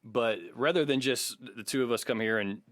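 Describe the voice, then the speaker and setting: A man's voice: mid-range voice, moderate pace, no room sound, studio quality. A man co-hosting a podcast in casual, conversational talk. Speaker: podcast co-host.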